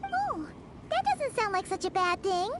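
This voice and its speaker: A woman voicing a tiny, excitable, childlike fairy companion in a video game, with a squeaky voice and an eager, friendly way of talking. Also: a little slow, very noisy, exaggerated voice. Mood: reassuring. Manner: exclamatory, eager. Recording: game music behind the voice.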